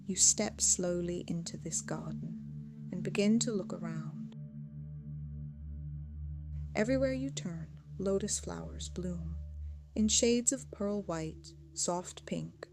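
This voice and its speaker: A woman leads a guided meditation in a lowish voice with a pronounced creak. Her delivery is measured, soothing and hypnotic, softening into a hush as phrases end. Music plays clearly behind her.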